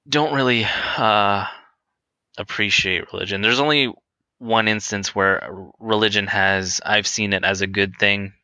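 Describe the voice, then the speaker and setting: A man in his late twenties talking candidly and casually as a guest, hesitant and halting, feeling his way through his answers. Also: fairly low pitch, sharp tone, clear, resonant tone, no room sound. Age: twenty-nine. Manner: hesitant, halting, self-conscious. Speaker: interview guest on a podcast.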